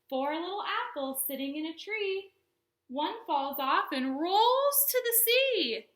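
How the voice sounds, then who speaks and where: A woman hosting a storytime for young children, speaking in simple explanatory phrases with an animated, sing-song delivery. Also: sits high. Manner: animated, exaggerated questions and exclamations for young children. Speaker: children's librarian and storytime host.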